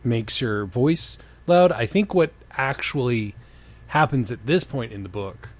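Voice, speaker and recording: Male co-host and reader, medium pitch, very varied intonation, wry storytelling with casual sweary commentary. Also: animated, unhurried, dry recording. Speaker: co-host reading fan fiction aloud.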